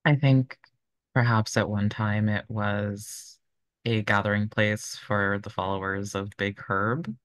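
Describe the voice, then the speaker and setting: A man slowly makes up details for a tabletop game. His tone is flat and lowish, with thoughtful pauses. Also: no room sound. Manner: measured, pausing to think. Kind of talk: casual improvised scene description.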